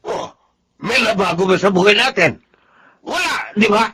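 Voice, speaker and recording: A man's voice: high-pitched, sing-song, moderate pace, bright, forceful tone, slightly rough, heavily acted. A man playing a bullying old drunkard and gambler, a domineering grandfather who scolds his wife in a melodrama. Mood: angry, menacing. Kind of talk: Tagalog radio-style melodrama acting.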